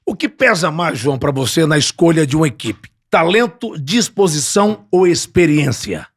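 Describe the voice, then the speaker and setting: A man's voice: fairly high pitch, sing-song, moderate pace. A middle-aged man with a Brazilian Portuguese accent hosting a podcast, asking questions in a teasing, theatrical way. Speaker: podcast host. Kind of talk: podcast interview, playful job game.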